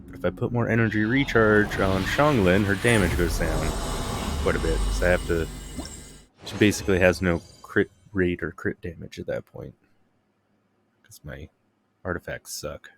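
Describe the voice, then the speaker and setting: A man's voice: sits low, normal speed, vocal fry, soft background music. A man streaming a game, thinking aloud in casual, rambling commentary. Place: live stream with game audio behind.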